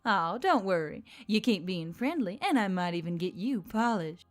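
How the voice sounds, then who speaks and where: A woman's voice: mid-range voice, expressive, moderate pace, close to an everyday voice. A woman voicing a confident young female adventurer, casual and teasing, in an audio story. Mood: friendly, teasing. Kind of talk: audio fiction character acting. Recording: background music under the voice.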